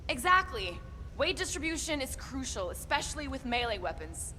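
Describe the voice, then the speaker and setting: An actress playing a tough, practical former assassin in a video game, firm and matter-of-fact. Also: female voice, high voice, sing-song, moderate pace, bright, forceful tone, slight background noise, exaggerated voice. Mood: instructive.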